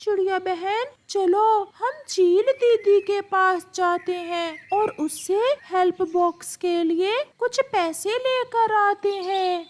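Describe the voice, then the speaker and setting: An eager, helpful parrot from a cartoon, voiced by a woman. He speaks keenly in a very high voice. His delivery is slow.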